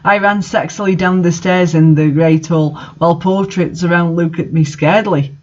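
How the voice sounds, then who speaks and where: A woman's voice: on the low side, steady tone, moderate pace, darkish tone, dull audio. A woman reading fan fiction aloud as a dramatic narrator. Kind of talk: dramatic story reading with asides.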